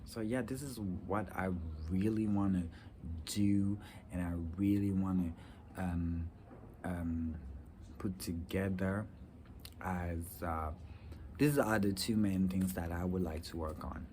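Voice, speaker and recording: A young man from Rwanda talking earnestly about his future plans in a personal vlog, with halting restarts and many fillers. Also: deep voice, fairly even intonation, warm tone, slight background noise. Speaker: vlogger. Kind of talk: personal reflective talk to camera.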